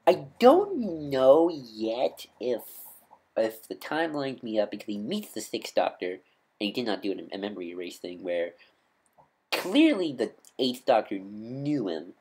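A man with a nasal voice giving casual, off-the-cuff fan commentary as a vlogger, rambling and halting as he corrects himself. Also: medium pitch, big pitch swings, darkish tone, breathy edge. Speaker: YouTube vlogger and podcaster.

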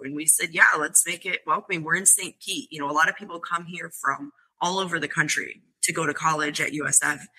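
A woman's voice: deep voice, pushed and bright, slightly rough, poor audio. A young woman who founded a college fishing club, answering podcast interview questions earnestly, with frequent fillers and restarts.

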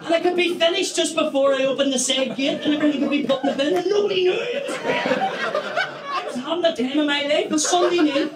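A man in his thirties with a Northern Irish accent, doing a stand-up set in a small club, animated and swearing as he tells a story. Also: extremely high pitch, fairly quick, over a noisy crowd, heavy background noise. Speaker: stand-up comedian. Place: small comedy club stage with brick wall. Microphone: handheld stage mic. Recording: echoey club with loud audience laughter. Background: audience laughter.

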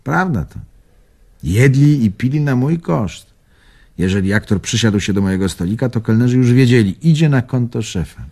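A man's voice: medium pitch, expressive, moderate pace, mellow, husky. An older man playing a bitter, wounded old bon vivant in a radio drama, musing theatrically and evasively. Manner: theatrical, musing, swinging between murmur and outburst.

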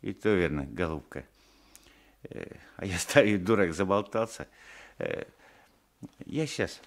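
Old village husband in a radio play, hesitant stammery, really animated up and down. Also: male voice, sits low, a little slow, creaky, full character voice. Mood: flustered, obliging. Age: older. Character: old peasant husband, simple, flustered, eager to please. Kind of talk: Soviet 1980s radio drama acting.

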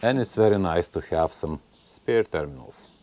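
A man's voice: deep voice, lively intonation, moderate pace, darkish tone, slight background noise. A man in his forties showing and testing a battery in a friendly, casual way, talking to viewers outdoors on a lapel mic. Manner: friendly, addresses viewers as guys, non-native phrasing. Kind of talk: scripted voice-over intro, then casual on-camera chat. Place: outdoors on a stone barn patio. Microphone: clip-on lapel mic.

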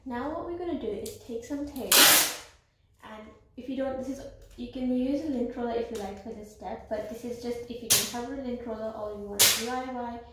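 A woman's voice: on the high side, fairly quick, very noisy. Chatty young woman in echoey room. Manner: chatty and instructive, dropping to quiet asides while working. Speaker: vlogger. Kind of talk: clean-with-me tutorial. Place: small carpeted room indoors. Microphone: camera at arm's length.

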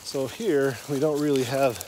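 A man's voice: mid-range voice, a little slow. A man in his thirties chatting casually while walking in the woods, filming himself on a phone held at arm's length. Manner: relaxed, chatty, joking with his son. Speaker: vlogger and father. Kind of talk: casual outdoor vlog chat. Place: outdoors in summer woods. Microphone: handheld phone at arm's length. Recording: outdoor insect noise behind. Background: crickets and insects.

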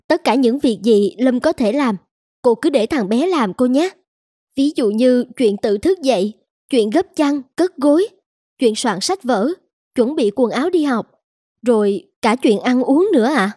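A woman's voice: on the high side, animated, unhurried, darkish tone, dry recording, clean studio sound. A woman playing a firm, polite young mother in a scripted cartoon, reasoning earnestly.

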